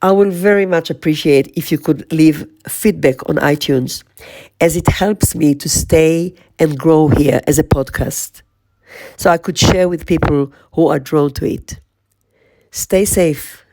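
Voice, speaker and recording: Slow, husky-toned woman podcast host. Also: low voice, dark tone. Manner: slow, measured, lingering on lists. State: voice strained, losing her voice. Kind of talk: reflective spoken podcast monologue.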